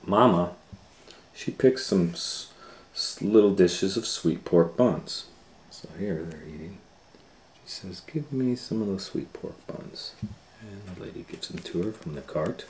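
A middle-aged man with a very low voice reads a picture book to kids, taking his time over every page. He chats along between lines and now and then sinks to a hush. Faint hiss sits under the close camera mic. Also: measured pace. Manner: relaxed, chatty, drops to a near whisper at times. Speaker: children's storytime reader. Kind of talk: casual read-aloud for kids.

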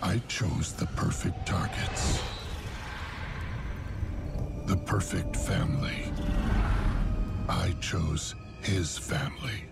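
Very deep, gravelly sadistic killer. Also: male voice, loud music behind the voice, exaggerated voice. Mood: bitter, menacing. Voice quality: gravelly, breathy whispers. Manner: slow, savoring, menacing delivery. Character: sadistic adult male serial killer clown, gloating, menacing. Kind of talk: dramatic horror monologue in a video game.

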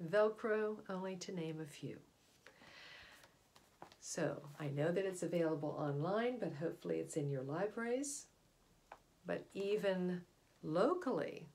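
A middle-aged woman with an American accent reading aloud to children like a storyteller, pausing to address the listener with explanations and questions. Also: fairly low pitch, a little mellow, a little hiss or hum. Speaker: read-aloud presenter. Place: indoor room at home.

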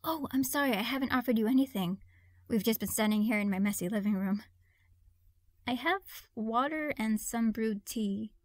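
A woman's voice: a little high, moderate pace, dry recording, clean studio sound, natural voice. A woman playing a friendly, awkward young witch in a conversational audio roleplay, hesitant and apologetic. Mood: friendly, chatty.

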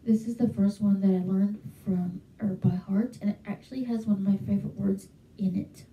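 A woman's voice: mid-range voice, steady tone, moderate pace, warm tone. A young woman vlogging casually to camera from her bedroom, chatty and enthusiastic, with frequent fillers. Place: small bedroom at home. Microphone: handheld mic held close, webcam. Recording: roomy echo, from a distance.

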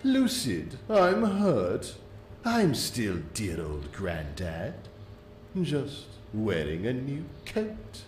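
A man voicing a sinister demonic old stallion in a dramatic animated comic, with slow, smug, theatrical menace. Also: lowish voice, heavily acted. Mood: mock tender. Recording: echoey, music underneath.

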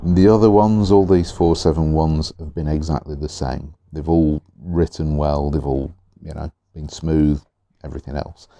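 A man reviewing fountain pens in an easygoing, chatty way, a little hoarse, with fillers and repeated words. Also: very deep voice, fairly even intonation, normal speed, mellow, no room sound. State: slightly hoarse. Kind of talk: relaxed product review. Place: indoors at a desk. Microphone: overhead camera on the hands, speaker not in frame.

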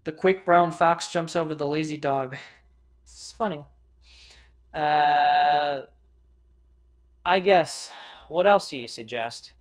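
A man's voice: a little high, slow pace, long pauses. A synthetic male voice reading a short text sample.

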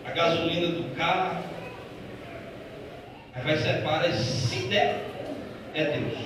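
A man with a Brazilian Portuguese accent preaching a Pentecostal sermon, swinging from quiet, fast asides to loud, drawn-out proclamations and calling his listeners "brother". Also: fairly high pitch, noisy background. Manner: addresses listeners as "irmão" and asks them questions. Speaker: pastor. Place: church sanctuary. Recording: echoey church hall from a distance.

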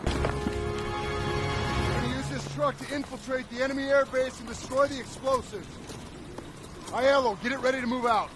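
Curt, high-pitched army sergeant. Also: male voice, steady tone, moderate pace, long pauses, loud music behind the voice. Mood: stern, commanding. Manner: curt, commanding. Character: gruff, hard-driving American army sergeant in World War II. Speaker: squad leader giving orders. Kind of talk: cinematic war game acting. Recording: game vehicle and outdoor noise behind.

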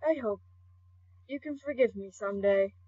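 A woman voicing a brooding, hot-tempered, guilt-ridden male skeleton royal guard in an amateur comic dub, halting and intense. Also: medium pitch, slightly rough, dry recording, lightly acted. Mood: subdued, guilty. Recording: dull lo-fi home recording.

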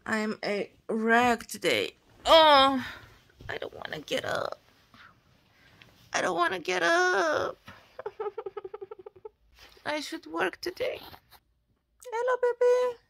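A woman in her thirties with a Romanian accent, vlogging casually at home into a phone held at arm's length, talking in a slow, relaxed and sleepy way. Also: very high voice, gravelly. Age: 30s. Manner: slow, relaxed and sleepy, with frequent laughs and restarts. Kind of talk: casual selfie vlog. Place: bedroom and bathroom at home, indoors.

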